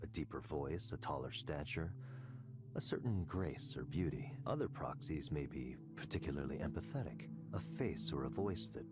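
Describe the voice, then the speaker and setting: A man's voice: extremely low pitch, expressive, moderate pace. An actor playing a polished, self-assured male tech executive in a scripted video game. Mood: smooth, self-assured. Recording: music behind the voice.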